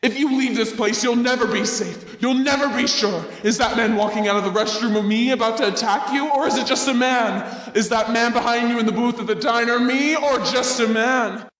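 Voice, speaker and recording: A man voicing an immortal shapeshifting monster in an audio drama, menacing and taunting, repeating words slowly under an echo effect. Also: extremely high pitch, a bit bright. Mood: threatening, taunting. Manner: slow menacing repetition. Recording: long echoing reverb, music underneath.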